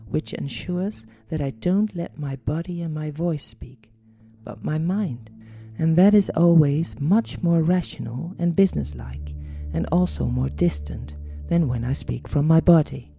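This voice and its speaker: Woman, Dutch accent, podcast coach, reflective monologue, dark gravelly voice, faint background music. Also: low-pitched. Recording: soft music underneath.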